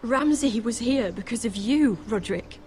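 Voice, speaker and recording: A woman playing a grieving young noblewoman in a fantasy video game, speaking in a raw, emotional, halting way. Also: a little high, lively intonation, darkish tone, a little hiss or hum, lightly acted. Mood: subdued, sorrowful. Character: grieving young noblewoman mourning her murdered brother.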